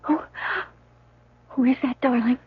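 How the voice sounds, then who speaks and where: A woman's voice: high voice, little pitch movement, measured pace, slightly rough, heavily acted. An actress playing a sweet, anxious young woman, girlish, in 1970s radio drama style. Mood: frightened, pleading. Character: sweet, anxious nineteen-year-old sweetheart, girlish and pleading. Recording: tinny, phone-like old radio broadcast.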